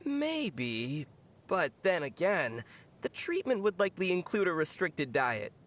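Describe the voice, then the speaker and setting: A woman voicing a teasing, deadpan young traveler in a video game, with a dry manner. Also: lowish voice, measured pace. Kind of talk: video game character dialogue.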